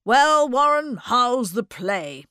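A woman puts on a monocled society gentleman for a storybook. He is jocular and pompous, declaiming in a slow drawl. The sound is close and dry. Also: a little high, big pitch swings, clear, resonant tone, dry recording, voice pushed up, exaggerated voice. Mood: hearty.

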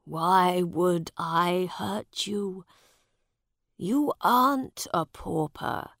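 A woman putting on an ailing old king, his voice low, gravelly and unhurried. He speaks gently and wearily from his sickbed in a storybook tale, heard in a dry, close recording. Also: expressive, no echo, heavily acted. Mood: weary. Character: old, sick, sad English king. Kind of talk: storybook reading.

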